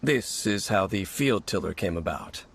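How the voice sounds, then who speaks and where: A grave, formal knight. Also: male voice, sits low, lively intonation, a little slow, gravelly, fairly bright tone, slightly rough, heavily acted. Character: solemn male knight, grave keeper of ancient secrets. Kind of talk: video game voice acting.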